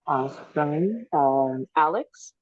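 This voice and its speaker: A woman's voice: low voice, animated, slow, darkish tone, no room sound, lo-fi sound. A woman hosting a dating show, calling on guests one by one with short cheerful acknowledgements.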